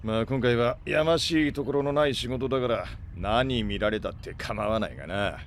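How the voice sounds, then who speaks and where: An actor playing a gruff, grumbling freighter captain in a Japanese audio drama, with rough, slangy, irritable delivery. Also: male voice, mid-range voice, moderate pace, slight background noise, lightly acted. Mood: resigned.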